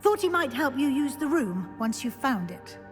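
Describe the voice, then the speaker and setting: An actress playing a brisk, encouraging professor at a wizard school, explaining things in an instructive manner. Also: female voice, high voice, big pitch swings, faint music behind. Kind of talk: expository game voice acting. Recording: long hall-like reverb.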